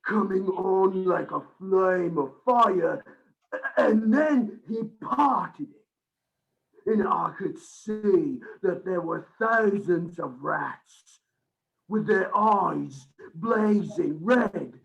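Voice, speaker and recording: A high-pitched asylum lunatic who drags out his words with haunted fervour. It is radio play melodrama, halting and theatrical. The recording is slightly dull. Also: vocal fry, heavily acted. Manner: halting, fervent, dramatic delivery. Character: raving madman asylum inmate enthralled by a vampire.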